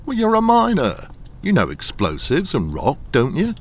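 An actor playing a suave smuggling boss in a radio crime drama, smooth, patronizing and politely threatening. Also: male voice, fairly high pitch, wildly swooping pitch, moderate pace, a little hiss or hum. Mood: dismissive. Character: suave menacing smuggling boss, coolly blackmailing a deserter.

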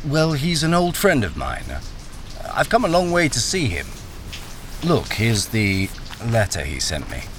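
A man's voice: medium pitch, huge pitch swings, unhurried, a little hiss or hum. An actor playing a weary, wary ex-soldier and miner who tells his own story in a radio crime drama. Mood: insistent, concerned. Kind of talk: radio crime drama, first-person narration and dialogue.

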